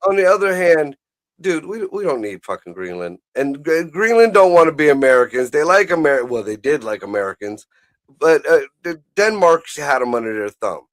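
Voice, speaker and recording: A man's voice: on the high side, very animated, moderate pace, no echo. A man chatting casually about politics as a show host, blunt, profane and rambling.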